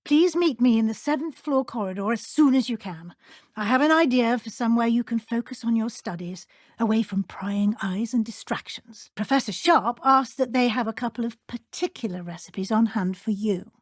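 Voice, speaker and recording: Game role: British schoolmistress witch , played by a woman, high-pitched, formal and precise, caring but brisk, recorded very dry. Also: no room sound, lightly acted. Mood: instructive. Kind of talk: scripted video game character dialogue.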